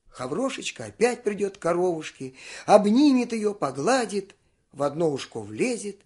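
Male storyteller, high voice, singsong folk-tale narration, faint music underneath. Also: husky. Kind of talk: scripted fairy-tale narration.

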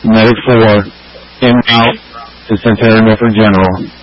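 A man's voice: on the low side, steady tone, normal speed. A male fire officer making terse, businesslike calls over a two-way radio. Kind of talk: clipped radio traffic. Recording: muffled two-way radio with faint static.

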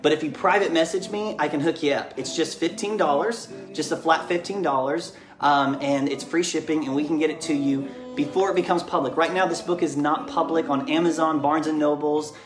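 American dad in an echoey living room. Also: male voice, a little high, fairly even intonation, brisk, hardly any pauses, music in the background. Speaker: father and children's book author reading aloud. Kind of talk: bedtime story reading and casual chat to viewers. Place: living room at home, indoors. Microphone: camera or phone propped just beyond arm's length.

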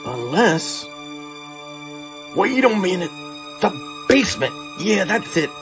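A man's voice: sits high, sing-song, slow, over music, exaggerated voice. A man doing a desperate street-tough fugitive. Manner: tough street slang, frantic. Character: desperate young male fugitive on the run.

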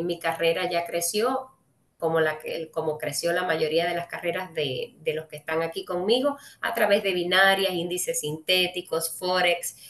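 Cuban lady running an online trading webinar, lowish voice, lots of eh's, echoey room. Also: fairly bright tone. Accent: Cuban Spanish. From Cuba. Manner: friendly, addresses viewers directly, frequent "eh" fillers. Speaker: webinar host and trading speaker. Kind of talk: live webinar presentation.

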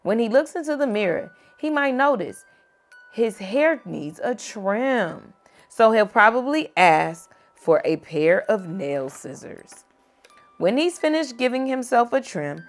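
A young woman reading a picture book aloud to a child at home, gentle and expressive. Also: medium pitch. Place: home bedroom, indoors.

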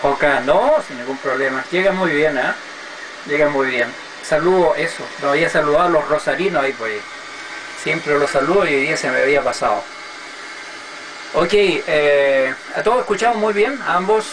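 A man's voice: on the high side, normal speed. A middle-aged man chatting casually and giving call signs on amateur radio. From Chile. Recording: noisy amateur radio satellite contact.

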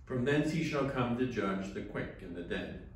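A man's voice: mid-range voice, lively intonation, normal speed, slight background noise. An older man, a rector, leading morning prayer and reading steadily from a book in a small church chapel, heard from a camera some distance away. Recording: very echoey room, voice from afar.

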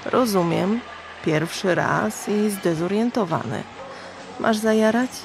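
An actress playing a brash, crude, streetwise prostitute in a dystopian audio drama, mocking and slangy. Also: female voice, medium pitch, lively intonation, takes it slowly, gravelly, noisy background. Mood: hostile, suspicious. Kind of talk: radio drama acting.

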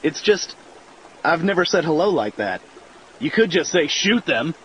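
A man's voice: fairly high pitch, big pitch swings, unhurried, a bit bright, slight background noise, rough recording. A man playing a smart-alecky young American army private in a war game. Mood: cheeky.

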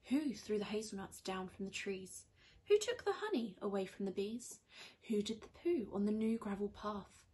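A young woman reads a rhyming children's storybook aloud in an expressive, teacherly way. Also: mid-range voice, moderate pace. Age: young adult. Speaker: primary school teacher reading aloud. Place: small indoor room on a sofa.

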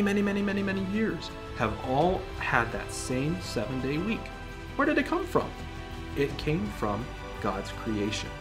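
Upbeat young man teaching kids. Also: fairly high pitch. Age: young adult. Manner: animated, asks children questions. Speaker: church children's program teacher. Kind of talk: scripted Bible lesson for children. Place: studio with green-screen background.

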